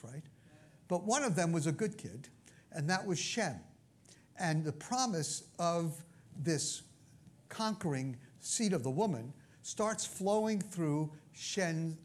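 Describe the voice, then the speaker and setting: Brooklyn-accented preacher, chatty and a bit high. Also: male voice, darkish tone. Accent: New York (Brooklyn). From Brooklyn, New York. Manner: conversational, frequent asides and check-ins. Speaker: pastor. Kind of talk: sermon teaching.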